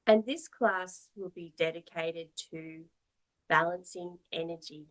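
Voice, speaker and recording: A woman teaching yoga, guiding a meditation in a soothing, measured, gently instructive manner. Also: lowish voice, rough recording.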